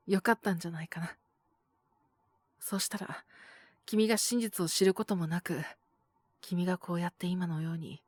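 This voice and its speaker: A woman voicing a calm, protective male owner who talks in a gentle, measured, softly teasing way in an audio drama. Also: on the low side, no room sound, close to an everyday voice. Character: calm, protective adult male bar owner mentoring a youth. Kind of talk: Japanese situational audio drama acting.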